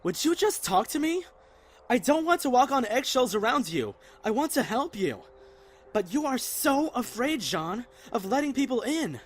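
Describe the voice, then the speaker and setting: A man playing a caring, earnest young survivor in a zombie apocalypse audio drama, mild-mouthed and gentle but stubborn. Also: very high voice, big pitch swings, normal speed, slight background noise. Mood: pleading, firm. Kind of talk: fan audio drama acting.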